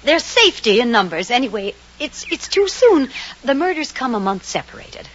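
Headstrong lady, lively higher voice. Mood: insistent. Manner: firm, brisk, dismissive. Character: headstrong, self-possessed maiden lady. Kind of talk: 1940s-50s radio drama acting. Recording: muffled, noisy old radio recording.

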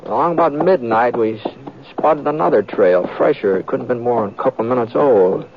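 Actor as gravelly, hesitant western drifter. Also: male voice, mid-range voice, expressive, natural voice. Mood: calm narrating. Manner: hesitant, folksy drawl with mumbled pauses. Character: easygoing western drifter and reluctant gunman, laconic, modest. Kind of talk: 1950s radio western acting and first-person narration. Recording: muffled, noisy, crackly old radio recording.

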